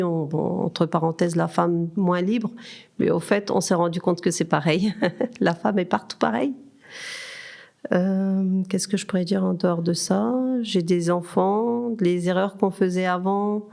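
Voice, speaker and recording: A woman in her fifties shares a reflective personal account. Her voice is fairly low and mellow, with a creaky edge. She hesitates often and puts questions to herself before answering them. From Turkey. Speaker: podcast guest.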